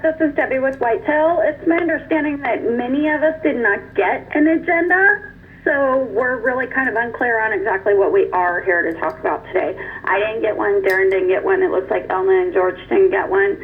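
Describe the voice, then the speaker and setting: A woman with a fairly high voice speaks as a participant on a conference call, putting her question politely. She comes through a narrow, tinny telephone line. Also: brisk. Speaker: transport provider on a conference call.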